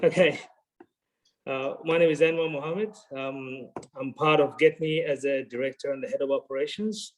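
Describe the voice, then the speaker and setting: Man, mid voice, nervous and halting, lots of fillers, video call. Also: mid-range voice, steady tone, rough recording. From Bangladesh. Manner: nervous, hesitant, many fillers. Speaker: company director.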